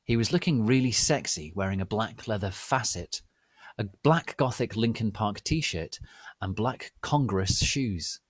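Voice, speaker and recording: Slow, theatrical low-voiced male narrator. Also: lively intonation, dry recording. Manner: theatrical, deliberate. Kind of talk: dramatic scripted fanfiction reading.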